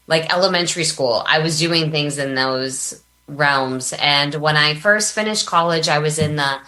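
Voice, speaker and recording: Lady podcast guest, bright punchy voice, chatty storytelling, acts out what ppl said. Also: deep voice. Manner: animated, chatty, quotes herself and others in dialogue. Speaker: podcast guest, business coach. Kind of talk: casual personal storytelling in an interview.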